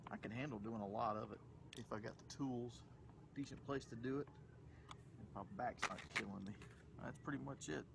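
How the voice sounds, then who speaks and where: A man's voice: mid-range voice, moderate pace, creaky. A man, hosting a podcast in casual chat as he talks himself through a small car repair outdoors. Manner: easygoing, talking himself through a task. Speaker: podcast host. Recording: loud outdoor noise.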